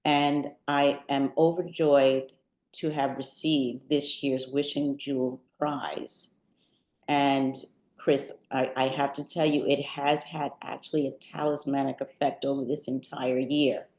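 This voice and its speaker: A woman, a guest poet, giving prepared remarks before a reading in a deliberate, articulate way. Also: rumbling low, darkish tone. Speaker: poet and visual artist, guest reader. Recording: roomy, echoing sound.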